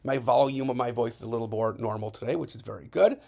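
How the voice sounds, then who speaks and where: A male science teacher narrating a slide lesson in a chatty way, checking in with students and saying his voice is still recovering from hoarseness. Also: mid-range voice, quick pace, darkish tone. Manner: chatty, checks in with frequent "okay?". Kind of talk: recorded classroom lesson over slides.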